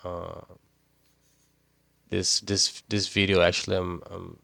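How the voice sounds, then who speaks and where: Portuguese-native man, young, very low and monotone, halting vlog delivery with lots of "uh", dry close sound. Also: vocal fry, no room sound. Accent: Portuguese-speaking. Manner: halting, repeats words, many fillers. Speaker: pressure washing business owner. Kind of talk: casual vlog talk to camera.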